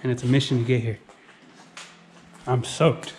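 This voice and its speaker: A man's voice: medium pitch, level tone, moderate pace, darkish tone, slight background noise. A man exploring outdoors by a mountain river, chatting in a laid-back, slangy way. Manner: laid-back, slangy, says bro and dope. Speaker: vlogger, co-host exploring. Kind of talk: casual on-camera exploring chat.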